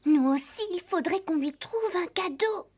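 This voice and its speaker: A woman's voice: very high voice, lively intonation, moderate pace, darkish tone. A woman voicing a spoiled, haughty rich girl in a French cartoon dub, affected and self-assured. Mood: breezy, self-satisfied. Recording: music under the voice.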